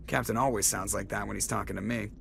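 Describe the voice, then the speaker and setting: A man's voice: on the low side, fairly even intonation, normal speed, creaky, a bit bright, slight background noise. A man playing a cocky, sarcastic young starship pilot in a video game, with a wry, flippant manner. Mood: wry, casual. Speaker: spaceship pilot. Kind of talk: video game character acting.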